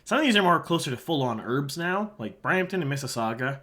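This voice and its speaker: A man's voice: fairly high pitch, big pitch swings, fairly quick. Man with a sore throat, casual commentary. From Halifax, Canada. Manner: casual and conversational, drops quieter aside asides while pointing at a map. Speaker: election analyst, channel host. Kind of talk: solo commentary walking through an election map.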